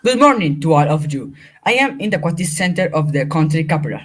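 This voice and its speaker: A man's voice: fairly high pitch, animated, quick pace. A man with a Colombian Spanish accent, reading a news field report in a stiff, read-aloud way. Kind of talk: school-project news report.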